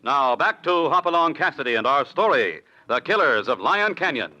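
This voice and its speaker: A man announcing and narrating a 1940s radio western in a formal, measured way. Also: fairly high pitch, very animated, highs cut off. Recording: old radio recording over loud music. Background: music.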